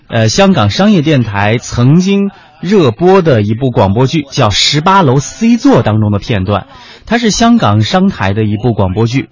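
Animated male radio host, standard Mandarin. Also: medium pitch. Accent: mainland Chinese standard Mandarin. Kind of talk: conversational radio co-hosting. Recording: slightly dull audio with music underneath. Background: background music.

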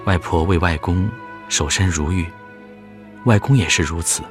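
A man's voice: deep voice, normal speed, lightly acted. An actor playing a fond, reverent grandson who tells his family's story in a scripted radio drama. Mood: reverent, solemn. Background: music behind the voice.

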